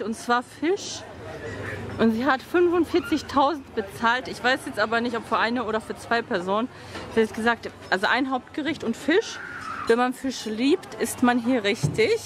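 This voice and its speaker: A woman's voice: fairly high pitch, background noise. A woman in her thirties chatting casually to a handheld camera, rambling a little and tired from the humid heat, in a hotel lobby and on the street. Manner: chatty, rambling, frequent "genau" and "ne?" tag questions. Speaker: travel vlogger. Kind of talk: casual vlog chat to camera. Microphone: handheld camera at arm's length.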